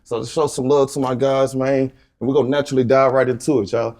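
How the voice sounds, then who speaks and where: A man's voice: medium pitch, level tone, fast talker, a little mellow. A male host running a casual interview in a laid-back way, leaning on repeated catchphrases.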